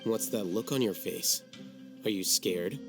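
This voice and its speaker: A calculating, aloof young scholar from a video game. His voice is on the low side, articulate and composed, delivering each point with measured certainty. Music plays clearly behind him. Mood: cool, businesslike. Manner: measured, matter-of-fact, articulate.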